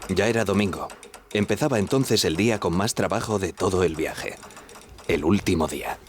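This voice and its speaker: A man's voice: low voice, normal speed, close to an everyday voice. A man playing a young Titanic radio operator who recounts his ordeal in a radio drama. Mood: reflective. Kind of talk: audio drama narration and dialogue.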